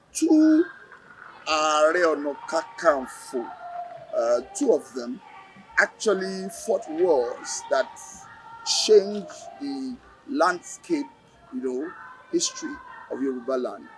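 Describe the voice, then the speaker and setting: Slow-spoken Nigerian man, halting and deliberate. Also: a little high, slight background noise, poor audio. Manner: deliberate, halting, emphatic repetition. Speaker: lecturer and play director interviewed. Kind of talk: explanatory interview talk.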